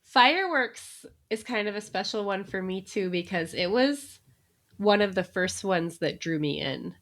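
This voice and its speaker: A woman's voice: medium pitch, lively intonation, a little slow, fairly bright tone. Chatty woman podcast co-host. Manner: chatty, laughs often between remarks. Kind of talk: casual chat.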